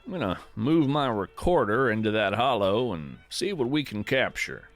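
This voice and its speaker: A man hosting a podcast with scripted, reflective nature narration, contemplative and deliberate with a gently wry touch. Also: medium pitch, very animated, faint music behind.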